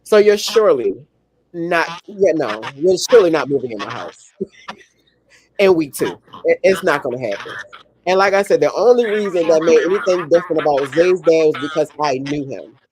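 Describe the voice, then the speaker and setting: A woman's voice: low voice, lively intonation, moderate pace, darkish tone, low-quality recording. A woman in her forties, co-hosting a podcast, talking frankly and emphatically and repeating herself to make a point. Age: 40s. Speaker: podcast co-host. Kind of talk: casual chat.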